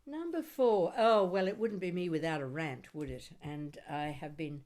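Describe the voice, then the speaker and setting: A woman reading her own poetry aloud in an expressive spoken-word style, with deliberate, dramatic phrasing and wry humour. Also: deep voice. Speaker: poet reading own work.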